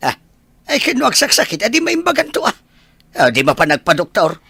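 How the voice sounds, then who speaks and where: A bright, forceful old husband, played by a man in an Ilocano radio-style drama, with an Ilocano Filipino accent. He scolds bluntly and irritably, his pitch leaping dramatically, on a very dry, close recording. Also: huge pitch swings, brisk, vocal fry, breathy edge, no room sound, heavily acted. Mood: dismissive. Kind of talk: Ilocano audio drama acting.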